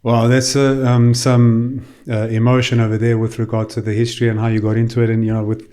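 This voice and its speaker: A man hosting a podcast in a studio, chatting informally in a halting, circling way. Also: medium pitch, completely flat delivery, mellow. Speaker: podcast host. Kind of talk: informal interview chat.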